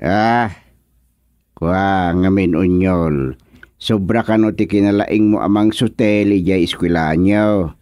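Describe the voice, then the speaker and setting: A paternal village chairman with an Ilocano accent, advising and gently scolding in radio drama style. The voice sits in an ordinary male range and the recording is very dry. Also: deep voice, slow, clear, resonant tone, no room sound. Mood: questioning, scolding. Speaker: actor playing village chairman.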